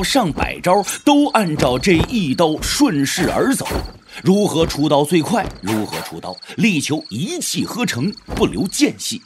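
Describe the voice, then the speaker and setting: Man narrating with very animated pitch. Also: noisy background. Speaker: audio drama narrator. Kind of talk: dramatic scripted narration of a martial arts novel.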